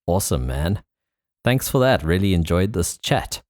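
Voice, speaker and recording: A man's voice: deep voice, big pitch swings, moderate pace, a little mellow, no room sound, studio quality. A man hosting a podcast in a casual, conversational style. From South Africa. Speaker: podcast host. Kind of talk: casual interview chat.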